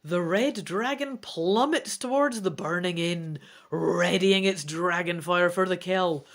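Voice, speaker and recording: A woman's voice: fairly low pitch, takes it slowly. A woman hosting a gamebook playthrough, reading dramatically and adding informal, self-deprecating asides. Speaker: host of a gamebook let's play.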